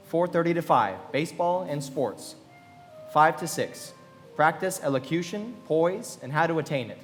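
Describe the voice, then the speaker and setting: Man as an earnest youth reciting over music. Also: fairly high pitch. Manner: clipped, list-like delivery. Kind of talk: live radio play recitation. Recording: reverberant hall.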